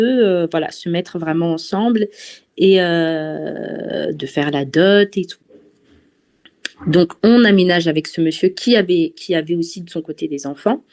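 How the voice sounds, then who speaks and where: A woman's voice: fairly low pitch, a little mellow. Hesitant woman giving a church testimony. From France. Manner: hesitant, many fillers and restarts. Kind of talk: personal testimony to a congregation.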